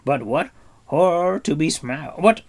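A man's voice: fairly high pitch, expressive, a little slow, a little mellow, voice pushed up. A man voicing a groveling, greedy, hairy forest creature who wails and pleads, in a storybook reading. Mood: wailing. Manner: wailing, pleading, talks of himself by name.

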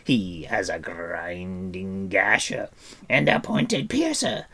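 A man voicing an excitable, boastful small male creature in a storybook, eagerly exclaiming in childlike phrases. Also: low-pitched, slow, breathy edge, heavily acted. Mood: excited, boastful. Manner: exclaiming, rhyming baby-talk phrasing.

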